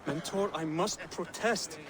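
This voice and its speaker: An actor playing a devout, indignant young assassin acolyte in a video game, speaking formally and earnestly. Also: male voice, a little high, unhurried, a crowd in the background, lightly acted. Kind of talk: dramatic video game cutscene acting.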